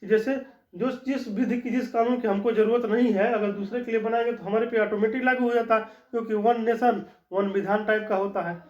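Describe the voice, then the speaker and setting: A man's voice: high voice, fairly even intonation, fairly quick. A man teaching a law lesson in a classroom style, explaining step by step and repeating his key points. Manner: explanatory, repeats key points, frequent "ठीक" check-ins. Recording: echoey room, dull lo-fi sound.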